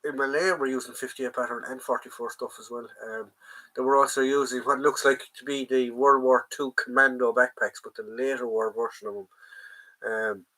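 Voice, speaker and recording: A man's voice: medium pitch, fairly even intonation, moderate pace, pushed and bright, poor audio. An Irish man with a stuffy nose from a head cold, hosting a casual live chat from home, rambling with plenty of ums and greeting viewers by name. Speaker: livestream host, collector.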